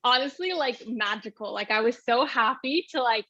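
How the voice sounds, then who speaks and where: A woman in her twenties talks breezily about her music, bubbly and quick to laugh, filling every pause with "like." Her voice comes through muffled and lo-fi, with a dead dry sound like a video call. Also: a little high, sharp tone, dry recording. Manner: bubbly, says "like" constantly, laughs often. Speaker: singer-songwriter guest. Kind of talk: casual interview chat.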